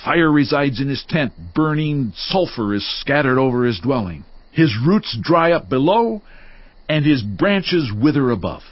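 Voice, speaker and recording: An actor playing a stern biblical accuser who pronounces doom on the wicked in a grave, declamatory, ominous way, for a dramatized audio Bible. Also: male voice, mid-range voice, sing-song, measured pace, no echo. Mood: grim, condemning. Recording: slightly dull sound under clear background music. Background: music.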